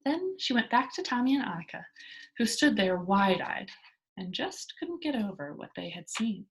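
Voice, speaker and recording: A woman reads a children's book aloud. Her delivery is animated and expressive, with wide movement in pitch. There is a husky, slightly rough grain in her voice. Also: moderate pace, poor audio. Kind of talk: expressive read-aloud storytelling.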